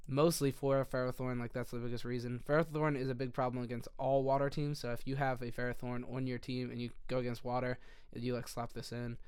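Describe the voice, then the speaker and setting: A young man with a hoarse voice that keeps giving out, casually walking through a game strategy in a rambling, self-correcting way. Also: medium pitch, darkish tone, dry recording. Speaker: video creator.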